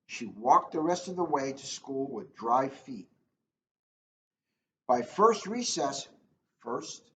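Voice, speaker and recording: A man's voice: mid-range voice, expressive, slow pace, pauses often. A man reading a children's book aloud as a police officer, chatting and joking at his own expense in casual asides. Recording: echoey room, dull lo-fi, faint noise.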